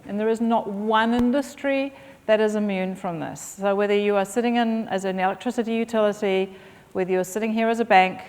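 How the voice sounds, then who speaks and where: A woman's voice: mid-range voice, a little mellow. A middle-aged woman with a mixed British and Australian accent giving a conference keynote, fluent but with frequent ums and uhs. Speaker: keynote speaker. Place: conference hall. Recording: long hall reverb.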